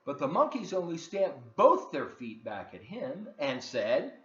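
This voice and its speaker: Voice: medium pitch, sing-song, measured pace. A children's story reader reading a picture book aloud expressively, with dramatic emphasis and asides to young listeners. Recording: roomy, dull lo-fi, faint noise.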